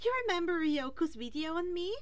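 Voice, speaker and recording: A woman voicing an excitable, dramatic superheroine with a gushing, squealing delivery in a fan fiction reading. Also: very high-pitched, fairly quick, pitched above the performer's own voice. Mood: excited, gushing.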